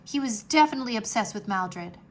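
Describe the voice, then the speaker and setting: A woman's voice: fairly high pitch, expressive, fairly quick, a little hiss or hum, natural voice. A woman voicing a brave, kind young boy dragon master in a children's storybook, eager and sincere. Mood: earnest.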